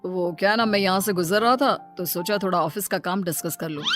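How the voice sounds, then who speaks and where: A woman voicing a male office boss in a cartoon story, measured and self-important. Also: on the low side, over music, pitched below the performer's own voice, natural voice. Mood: polite. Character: smooth, then stern male office boss.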